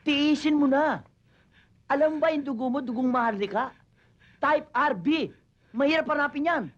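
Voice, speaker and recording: An actor playing a greedy, fast-talking hustler who manages a would-be stunt double and haggles hard over money. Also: male voice, extremely high pitch, highs cut off. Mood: pushy. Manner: haggling, bossy, quick to shout. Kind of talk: Filipino comedy film acting.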